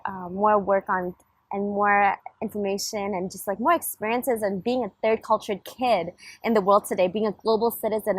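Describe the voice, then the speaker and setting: A woman's voice: medium pitch, slightly rough, dry recording. A young woman giving a casual talk as a coach and summit host, chatty, with many fillers and restarts. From grew up between Germany, Taiwan, Shanghai, Hong Kong and California.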